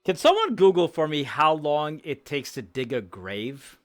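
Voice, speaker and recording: Male player, crisp and animated, chatting casually mid-roleplay. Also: a little high, unhurried. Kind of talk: casual chat during roleplay.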